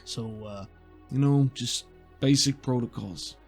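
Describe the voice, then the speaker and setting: A gruff, world-weary officer of a small mechanical race, acted out by a man in a tabletop game. His talk is blunt and folksy, pitched at an ordinary male height. Soft music plays behind him. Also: takes it slowly. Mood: businesslike. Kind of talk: in-character roleplay in a tabletop game.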